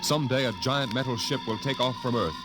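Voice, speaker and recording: An actor as the portentous narrator of a 1950s radio space drama, grave and theatrical on an old broadcast. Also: male voice, medium pitch, steady tone, fast talker, natural voice. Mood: grave, dramatic. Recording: old radio broadcast under loud music.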